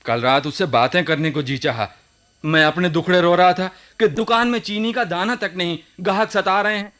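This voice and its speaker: A man's voice: high-pitched, moderate pace, fairly bright tone. An actor playing a peevish shopkeeper husband, a mother's boy who complains indignantly, in a radio drama. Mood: aggrieved. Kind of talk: Hindi radio drama acting.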